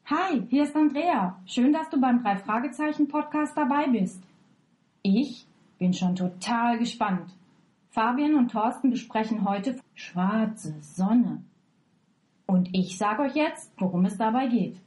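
A woman's voice: fairly high pitch, lively intonation, unhurried, darkish tone, poor audio. A woman presenting a podcast episode synopsis in scripted narration.

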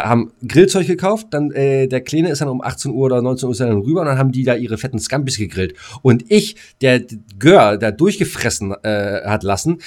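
A middle-aged man chatting as a podcast co-host, telling stories in coarse, slangy, exasperated fashion. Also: medium pitch. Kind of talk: casual chat, storytelling.

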